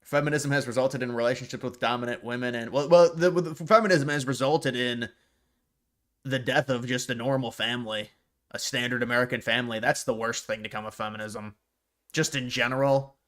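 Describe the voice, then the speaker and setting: A man's voice: medium pitch, brisk, fairly bright tone. A man hosting a live stream, commenting casually on clips and often stopping to restart and repeat words.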